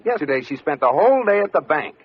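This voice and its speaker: Exasperated sitcom husband, wry and lively, on dull vintage radio audio. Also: male voice, a little high, fast talker, slightly rough. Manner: wry, harried explaining. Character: exasperated husband, wry, patient then fed up. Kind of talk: 1940s-50s radio sitcom acting. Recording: muffled, very noisy old radio recording.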